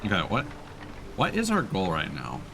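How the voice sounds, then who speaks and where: A man's voice: sits low, wildly swooping pitch, normal speed, creaky. A man giving casual live commentary on a game, thinking aloud as he plays. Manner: thinks aloud while playing. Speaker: game streamer. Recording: faint game noise behind voice. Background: game ambience.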